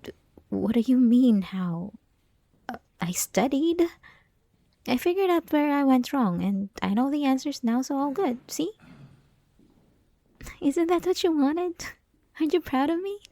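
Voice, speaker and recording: Woman acting a soft, high-voiced clingy yandere schoolgirl. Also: expressive, no room sound. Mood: coy, evasive. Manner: coy, teasing, pleading, halting, often dropping to whispers. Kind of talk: ASMR roleplay, intimate scripted monologue.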